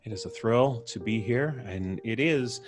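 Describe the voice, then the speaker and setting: A man's voice: mid-range voice, unhurried, over music. A man hosting a live show with casual, jovial, playfully wry banter. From Canada. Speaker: host.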